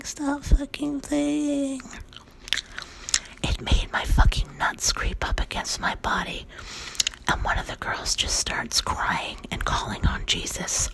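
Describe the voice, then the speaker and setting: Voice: sits high, vocal fry, rough recording. A storyteller whispering a horror story throughout, in a loose, profane way.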